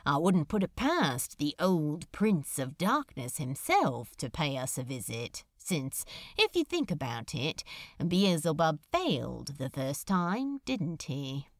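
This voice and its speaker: A woman's voice: low voice, sing-song, slow, no echo, clean studio sound, voice pushed down, heavily acted. Woman doing a nonchalant, wry male demon. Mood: musing. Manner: nonchalant, musing, drawling.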